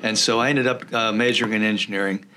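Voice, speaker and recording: Older man with bright, hesitant speech. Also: on the low side, fairly even intonation, slight background noise. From rural Texas. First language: Czech. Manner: halting, many "uh" fillers and restarts. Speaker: law professor, guest. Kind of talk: interview, recalling his career.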